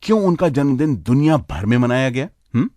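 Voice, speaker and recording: Animated male radio host, lively pitch. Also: a little fast, no echo, studio quality. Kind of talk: radio presenting, conversational.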